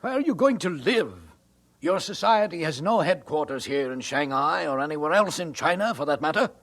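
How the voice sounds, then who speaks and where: An actor playing a stern, skeptical older doctor in a missionary audio drama, brusque and disapproving. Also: male voice, a little high, lively intonation, normal speed, fairly bright tone.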